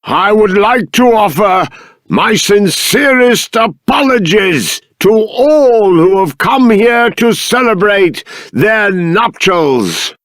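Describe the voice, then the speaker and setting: A man's voice: high voice, a bit bright, dry recording, studio quality, full character voice. An actor playing a stern, dignified middle-aged king in a video game, with formal, regal, emphatic diction. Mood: loud, proclaiming. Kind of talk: dramatic game character dialogue.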